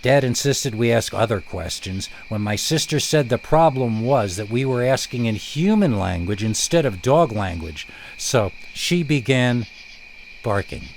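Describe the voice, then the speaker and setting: A man reading a first-person scary story as a narrator, expressive and dramatic, leaning on words with deliberate pauses. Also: mid-range voice, a little hiss or hum.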